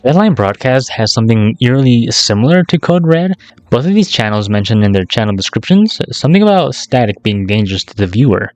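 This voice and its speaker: A man's voice: mid-range voice, sing-song, brisk, a little mellow, dry recording, lo-fi sound. A man narrating a casual scripted YouTube commentary in a sarcastic, slangy, profane way. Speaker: YouTube video essayist.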